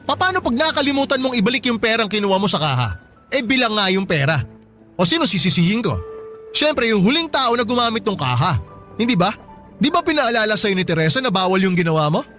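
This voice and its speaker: An actor playing a strict, suspicious store boss in a Tagalog radio drama, questioning in a stern manner. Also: male voice, high-pitched, sing-song, quick pace, fairly bright tone. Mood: scolding. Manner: interrogating, stern. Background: music.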